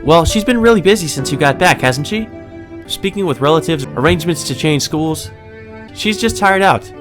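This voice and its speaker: Man putting on a high, chirpy maid girl. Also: lively intonation, moderate pace, natural voice. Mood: cheerful, teasing. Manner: sweet, honorific, gently teasing. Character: cheerful polite young maid, a chirpy woman. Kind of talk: visual novel read aloud. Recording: music under the voice.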